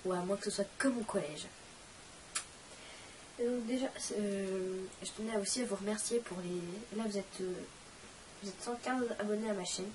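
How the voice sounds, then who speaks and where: A woman's voice: mid-range voice, normal speed, long pauses, a little mellow, far from the mic, a little hiss or hum, rough recording. A teenage girl with a cold, chatting in a rambling, self-mocking way while hosting a casual question-and-answer vlog. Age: teens. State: has a cold, coughing. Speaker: channel host.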